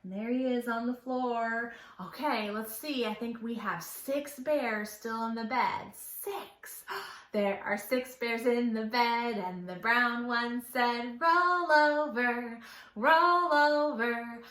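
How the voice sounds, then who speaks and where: A woman's voice: on the high side, fairly even intonation, takes it slowly, fairly bright tone. A woman leading a children's storytime, talking in an exaggerated singsong way as if to small children. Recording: echoey room.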